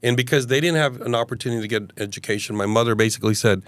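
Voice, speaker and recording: Smooth-voiced man in his fifties. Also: mid-range voice, rapid-fire, dry recording. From Uganda. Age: 50s. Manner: earnest, expansive, hesitant fillers. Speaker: guest, mayoral candidate. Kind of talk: casual interview storytelling.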